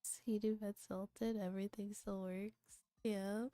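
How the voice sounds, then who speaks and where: A woman's voice: medium pitch, level tone, slow pace, mellow, no room sound. A woman streamer chatting casually and playfully, often giggly, between bits of reading a visual novel aloud. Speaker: VTuber streamer, host.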